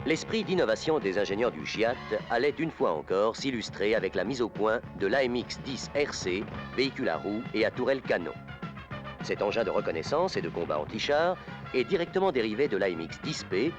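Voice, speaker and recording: A man delivers formal instructional film narration. His voice sits fairly low and moves with very lively intonation. He reads in a measured, declarative documentary style, with music clearly playing behind him.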